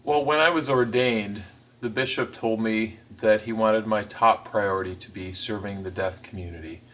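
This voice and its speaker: A man voicing a signed interview as interpreter, phrasing things in a measured, careful way with the occasional restart. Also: lowish voice, fairly bright tone, far from the mic, rough recording. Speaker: sign language voice interpreter.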